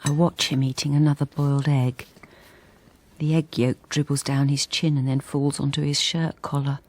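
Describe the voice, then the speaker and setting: Actress as a low-voiced, downtrodden lonely wife. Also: female voice, level tone, moderate pace, darkish tone, a little hiss or hum, close to an everyday voice. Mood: bleak, haunted. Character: lonely, downtrodden wife, quietly bleak and withdrawn. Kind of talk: first-person radio drama narration.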